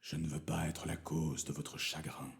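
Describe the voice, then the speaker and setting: A man voicing a gentle, sad male beast in a fairy tale, speaking formally, slowly and in a hushed way. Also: extremely low pitch, flat delivery, vocal fry, pitched below the performer's own voice, exaggerated voice. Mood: resigned, sorrowful.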